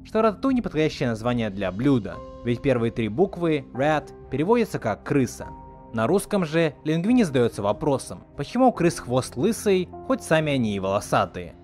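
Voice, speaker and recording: A man hosts a scripted video essay. His mid-pitched voice moves up and down in a lively way as he narrates, with soft music underneath. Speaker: video host.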